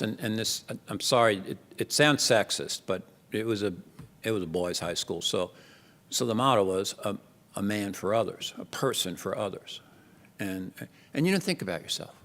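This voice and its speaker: A man's voice: on the low side, a bit bright. An older man with a New Jersey accent giving a conference keynote, talking animatedly and conversationally with many restarts. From Jersey City, New Jersey. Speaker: keynote speaker. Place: large lecture hall. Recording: long hall reverb.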